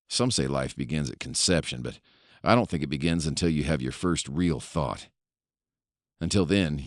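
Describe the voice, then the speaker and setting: A man playing an embittered, obsessed and trapped kidnapper in a horror audio story, with a brooding, menacing and deliberate delivery. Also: a bass voice, creaky, no echo, clean studio sound, voice pushed down, exaggerated voice. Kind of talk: first-person horror audio story monologue.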